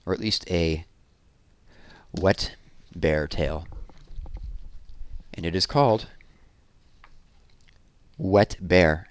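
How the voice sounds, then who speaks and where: A man's voice: low-pitched, very slow, long pauses, dry recording. A man reading storybooks aloud for children in a storytelling style. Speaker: storybook reader.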